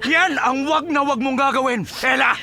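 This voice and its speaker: A man's voice: very high voice, moderate pace, gravelly, noisy background. A Filipino actor playing a hot-tempered, stern father who scolds emphatically in a radio drama. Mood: angry scolding. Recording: loud dramatic music behind. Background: dramatic music.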